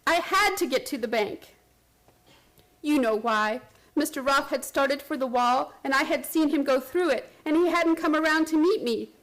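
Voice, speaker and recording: A woman's voice: high-pitched, moderate pace, fairly bright tone, rough recording. An actress playing an obsessed, frantic woman hospital patient who tells her strange story in a radio play, intense and theatrical. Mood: tense, secretive. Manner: intense, haunted, urgent, theatrical. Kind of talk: radio play acting, first-person dramatic narration.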